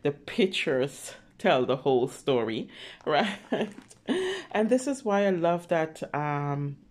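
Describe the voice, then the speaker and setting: A woman reads aloud to small children at a slow, unhurried pace. She is expressive, full of little exclamations and check-in questions as she comments simply on the pictures. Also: sits low. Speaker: children's story reader.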